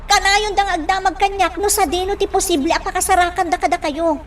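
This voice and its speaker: A woman's voice: very high voice, steady tone, fairly quick. A woman acting a worried young adult daughter in an Ilocano radio drama, anxious and pleading. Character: worried grown daughter cautioning her mother. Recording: distant microphone with faint background noise.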